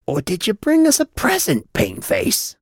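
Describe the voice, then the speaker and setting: A man's voice: very high voice, wildly swooping pitch, no room sound, clean studio sound, voice pushed up, heavily acted. A man voicing a squat, dim-witted, surly goblin guard who blusters and speaks in crude, muddled slang, in an audiobook story. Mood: suspicious, hostile. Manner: blustering, halting, muddled speech with rough British-style slang.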